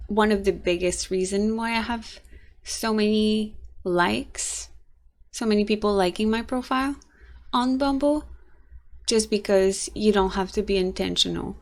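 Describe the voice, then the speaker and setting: Young female vlogger, raspy and tired, unhurried halting delivery, mid pitch. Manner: rambling, halting, frequent restarts and soft laughs. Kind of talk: casual vlog monologue.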